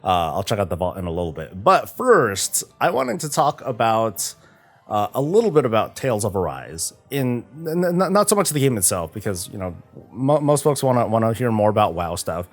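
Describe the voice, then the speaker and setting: A man chatting casually on a gaming livestream, halting and often stumbling over repeated words. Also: fairly low pitch, very animated, faint music behind. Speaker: streamer, host of a morning show. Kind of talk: casual livestream chat about games.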